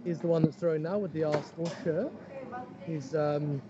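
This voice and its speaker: Brisk male darts stream host. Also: on the high side, fairly even intonation, muffled recording, background noise. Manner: brisk, organizing, hands over to commentators. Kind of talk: hosting a live darts stream.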